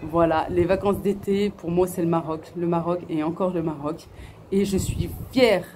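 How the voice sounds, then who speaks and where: A woman's voice: fairly low pitch, fairly even intonation, normal speed, mellow, a little hiss or hum. A middle-aged woman from France, chatting earnestly to camera and answering viewers' questions, with many fillers and restarts. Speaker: family vlogger. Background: faint outdoor ambience.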